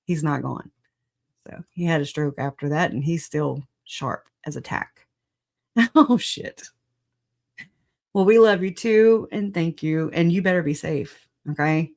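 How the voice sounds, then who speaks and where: A livestream host with a raspy voice, hoarse after a recent illness, chatting casually and laughing a lot at themselves. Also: mid-range voice, a little slow. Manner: laughs often, self-deprecating, chatty. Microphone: computer mic on streaming software.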